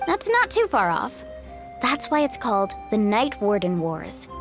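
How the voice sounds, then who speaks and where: A woman's voice: medium pitch, wildly swooping pitch, unhurried. A woman playing an upbeat, encouraging young woman warrior in an anime game, with a youthful, confident voice. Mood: proud. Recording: music behind.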